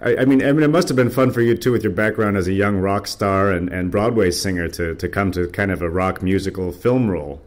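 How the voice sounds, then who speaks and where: An American man conducting a casual interview, effusive like a fan, with hesitant, halting phrasing. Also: sits low.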